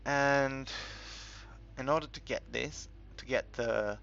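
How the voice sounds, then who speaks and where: A man giving casual, unscripted game commentary in a halting, rambling way, as if thinking aloud while he explains things. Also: mid-range voice, vocal fry, a bit bright. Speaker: gaming video creator narrating a tutorial. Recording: dull lo-fi home recording, music behind.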